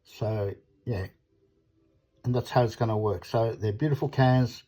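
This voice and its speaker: A man's voice: medium pitch, unhurried, warm tone, slightly rough. A man with an Australian accent giving a casual, unscripted product talk as a small business owner, rambling and blunt, often checking in with short tag questions. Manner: rambling, blunt, repeats tag questions like okay and all right.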